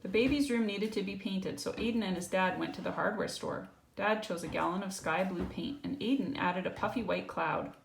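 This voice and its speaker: A woman's voice: medium pitch, moderate pace, creaky, hardly any pauses. A woman reading a picture book aloud to a child, breaking off for casual explanatory asides and checking in with small questions. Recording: roomy echo, faint background noise.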